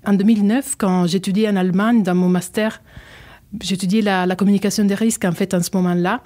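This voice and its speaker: A woman from southern Italy with an Italian accent, answering interview questions carefully and pausing to find her words in French. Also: mid-range voice, steady tone, warm tone. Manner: careful, searching for words, many fillers. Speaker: EU civil protection program officer.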